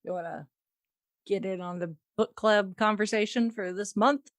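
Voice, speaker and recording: A woman's voice: mid-range voice, normal speed, no echo, clean studio sound. A woman hosting a podcast, reading out fandom news in a casual, wry and playful way with chuckles. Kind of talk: casual chat.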